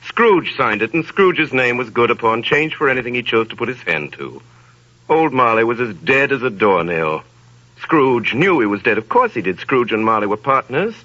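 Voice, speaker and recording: A man's voice: medium pitch, sing-song, moderate pace, sharp tone. A man hosting and narrating a radio play with grand, theatrical storytelling. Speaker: narrator and host. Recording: lo-fi 1930s radio broadcast.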